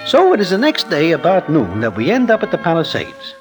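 An actor playing a streetwise New gambler sidekick who narrates in stiff formal slang, in an old radio drama style. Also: male voice, fairly high pitch, huge pitch swings, brisk. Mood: wry, reflective. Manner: stiff formal slang, present tense, no contractions. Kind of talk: 1940s-50s radio drama narration and acting. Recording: old radio recording with background music.

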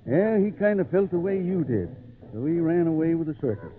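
An actor playing a kindly old circus hand spinning a yarn in a folksy, unhurried radio-drama style. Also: male voice, on the high side, very animated. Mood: friendly, chatty. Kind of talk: 1940s-50s radio drama acting. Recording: tinny old radio recording, faint noise.

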